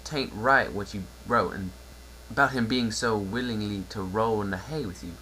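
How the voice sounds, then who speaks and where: Someone playing a blunt, folksy farm mare, forceful. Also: mid-range voice, moderate pace, slight background noise, lightly acted, poor audio. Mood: stern. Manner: folksy rural drawl. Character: blunt, protective female farm pony, quick-tempered. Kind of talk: cartoon fan-fiction dramatic reading.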